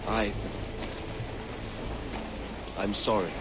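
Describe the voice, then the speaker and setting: An actor playing a sea captain father who talks calmly, warmly and with gentle teasing, in a video game cutscene. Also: on the low side, extremely slow. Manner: calm, warm, gently teasing. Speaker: ship captain. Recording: loud wind and ocean behind. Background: wind and waves at sea.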